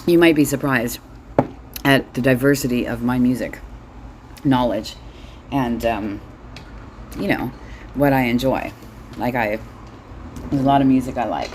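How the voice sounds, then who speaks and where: A woman's voice: a bass voice, a little slow, long pauses, background noise. A middle-aged woman chatting casually in a comedic monologue, blunt, wry and sweary, as the host of her own show. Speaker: solo host.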